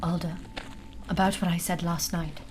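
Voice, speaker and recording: A woman's voice: lowish voice, little pitch movement, moderate pace, a little mellow, faint music behind, natural voice. An actress playing a cautious, observant young woman spellcaster in a fantasy audio drama, speaking earnestly. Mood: serious.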